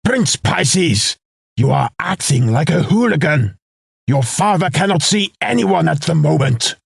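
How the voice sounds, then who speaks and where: An actor playing a haughty, fussy royal court lord in an audio drama, with a pompous, indignant, gravelly delivery. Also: male voice, fairly high pitch, sing-song, measured pace, no room sound, studio quality, full character voice. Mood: stern, indignant. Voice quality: gravelly, raspy.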